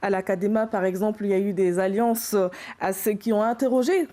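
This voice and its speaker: A woman's voice: mid-range voice, a little fast, warm tone. A woman presenting a broadcast news interview, putting questions to a guest.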